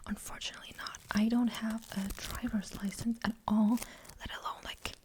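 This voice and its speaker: Soft whispering young German woman. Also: on the high side, little pitch movement, moderate pace. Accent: light German. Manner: rambling, hesitant, many fillers. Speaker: ASMR creator. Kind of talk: whispered ASMR Q&A chat.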